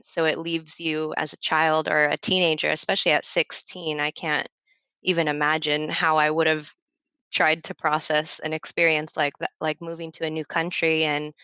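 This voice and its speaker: Low, smooth-voiced American woman. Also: a bit bright, no room sound, highs cut off. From United States. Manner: empathetic, chatty, laughs often. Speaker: podcast co-host. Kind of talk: casual chat.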